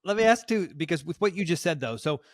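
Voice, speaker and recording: A man's voice: a little high, lively intonation, fast. A man hosting a casual podcast interview, asking questions eagerly and agreeing often with his guest. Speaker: podcast host.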